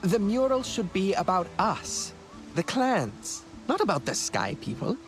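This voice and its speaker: A passionate young alien artist with a highish, very animated voice. He is earnest and emphatic in game dialogue, over faint music. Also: measured pace, heavily acted. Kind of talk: video game dialogue acting.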